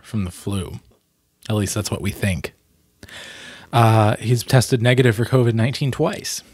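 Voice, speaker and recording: A man in his thirties hosts with earnest, emphatic delivery. His voice sits at a medium pitch. He is close on a desk microphone in a small room, with a dry sound. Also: no echo. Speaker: vlog host and storyteller. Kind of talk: storybook reading and candid commentary. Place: small plain room indoors.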